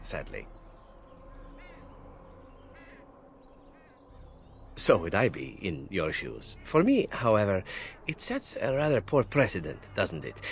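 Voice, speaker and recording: A man's voice: on the low side, a little hiss or hum. A man playing a flippant, talkative assassin in a video game, glib and wry, with teasing tag questions. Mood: breezy, glib. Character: roguish, flippant male assassin, cheeky and talkative captive. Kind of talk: video game character acting.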